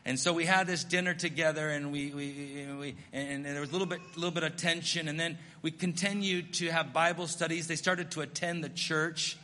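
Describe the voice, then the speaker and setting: A middle-aged American missionary pastor preaching a sermon, telling stories in an earnest, self-deprecating way. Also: male voice, fairly high pitch, steady tone, fairly quick, few pauses, bright, forceful tone, in a large hall. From United States.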